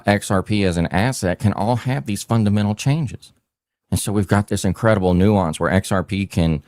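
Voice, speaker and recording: A man's voice: sits low, darkish tone, no echo, studio quality. A man hosting a casual crypto talk show, rambling and emphatic, with many fillers and restarts. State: hot and sweating. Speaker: podcast host.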